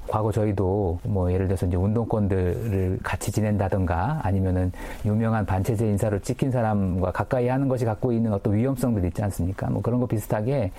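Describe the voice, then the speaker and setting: Middle-aged researcher, low and warm, thoughtful. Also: male voice. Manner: thoughtful, with fillers. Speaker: history researcher. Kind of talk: interview explanation.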